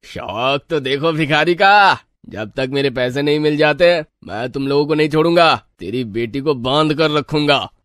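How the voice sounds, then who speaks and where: A man voicing a cruel, greedy old village moneylender, bossy and scornful, in an animated story. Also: fairly high pitch, normal speed, few pauses, dry recording, clean studio sound, close to an everyday voice. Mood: angry.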